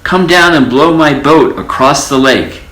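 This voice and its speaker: A man's voice: a little high, a little slow, fairly bright tone, reverberant, voice pushed up, low-quality recording. A man voicing a small, demanding male mouse calling out commands in a children's storybook. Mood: shouting, demanding.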